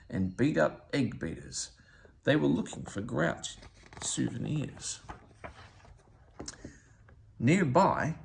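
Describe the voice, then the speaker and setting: A man reading a children's storybook aloud in an expressive narrating style. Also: medium pitch, creaky. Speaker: storybook reader.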